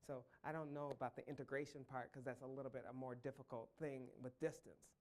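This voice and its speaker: A man's voice: medium pitch, fairly even intonation. A man, a professor answering audience questions at a public lecture, speaking in long, careful, thought-out sentences. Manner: measured, thinking aloud in long careful sentences.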